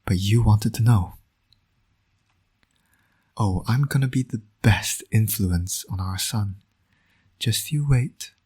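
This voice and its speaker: A man playing a flirtatious villain and doting father in romantic roleplay audio, speaking in a smug, teasing, intimate way with a breathy, often near-whispered voice. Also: lowish voice, takes it slowly, pauses often, a little mellow. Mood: teasing, playful.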